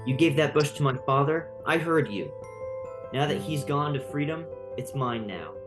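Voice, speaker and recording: A man's voice: a little high, lively intonation, moderate pace, over music, natural voice. A man playing a naive, earnest young pet mouse in an audio drama, with a hesitant, pleading manner. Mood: indignant.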